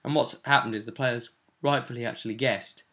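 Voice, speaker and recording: A man's voice: mid-range voice, fairly even intonation, brisk, bright, forceful tone. A man giving a casual, rambling recap of a tabletop role-playing session as the game master.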